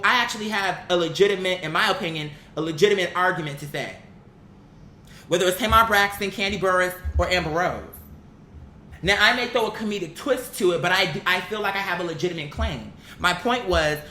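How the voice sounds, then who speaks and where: Blunt woman ranting her opinions, sweary and emphatic, sharp bright voice, bit of noise behind her. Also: medium pitch. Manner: blunt, exasperated, emphatic, profane; argues through rhetorical questions. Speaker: online commentator. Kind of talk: opinionated casual commentary. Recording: echoey room with faint background noise.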